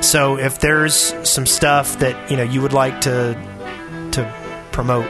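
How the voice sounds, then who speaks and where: A man co-hosting a casual group podcast, talking haltingly and often repeating his words. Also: mid-range voice, steady tone, over music. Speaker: podcast co-host.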